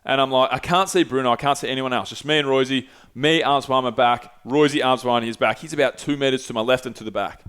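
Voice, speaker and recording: Brief: podcast host, 30s, loose storyteller, salty language, small studio. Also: male voice, mid-range voice, fast talker, few pauses, smooth. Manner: animated, swearing, gesturing. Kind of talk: casual storytelling. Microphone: headset with desk mic.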